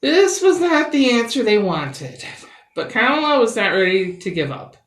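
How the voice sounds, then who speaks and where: A woman reading a picture book aloud expressively, with dramatic pauses and emphasis. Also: fairly high pitch, very animated. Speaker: children's story reader. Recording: roomy, echoing sound.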